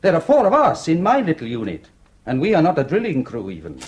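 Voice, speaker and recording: An actor playing a nervous, hesitant oil rig engineer in a radio drama, pleading and stammering. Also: male voice, a little high, wildly swooping pitch, fast, darkish tone, dull audio. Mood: explaining. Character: French-speaking oil rig logging engineer, man, nervous gambler. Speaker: logging engineer.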